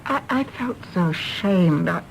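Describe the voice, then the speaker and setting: An anxious, apologetic middle-aged English lady. She is genteel and hesitant, with a creaky edge and very changeable pitch. It is radio drama, gentle in temper. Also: lively intonation, a little slow, a little hiss or hum, low-quality recording. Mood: ashamed, hesitant. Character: ashamed, gentle divorced woman, apologetic and anxious. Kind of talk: British radio drama acting.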